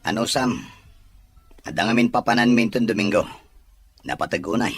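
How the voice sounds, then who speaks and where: Actor as evasive husband, husky and animated, music bed behind. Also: male voice, mid-range voice, moderate pace, dry recording. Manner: evasive, sweet-talking. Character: cheating middle-aged husband, evasive and smooth. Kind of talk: Ilocano audio drama acting.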